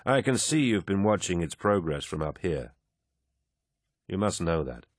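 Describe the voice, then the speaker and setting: A giant warrior , as the narrator voices him, stays calm and firm in an audiobook scene. His voice is very low and dry, and his speech is measured. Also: no room sound, exaggerated voice.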